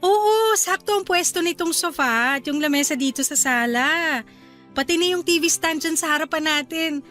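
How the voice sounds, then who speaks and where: A woman's voice: sits high, normal speed, fairly bright tone, soft background music. A woman playing an affectionate, chatty young wife and new mother in a Filipino radio drama. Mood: cheerful, caring.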